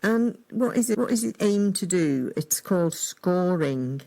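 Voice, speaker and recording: A woman radio presenter asking brisk, prompting questions of a guest. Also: on the low side, expressive, gravelly, dark tone. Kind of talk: radio interview.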